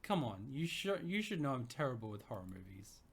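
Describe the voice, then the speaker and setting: A man's voice: medium pitch, big pitch swings, a little fast, slightly rough. A man voicing a smug, teasing young woman who speaks sarcastically and playfully in a storybook romance. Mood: pleading.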